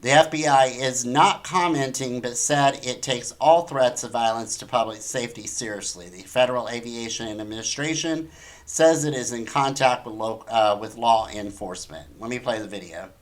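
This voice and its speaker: A man's voice: medium pitch, moderate pace, low-quality recording. A man hosting an opinionated talk show, commenting on the news in an incredulous, emphatic way with rhetorical questions. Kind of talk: opinionated news commentary, reading articles aloud.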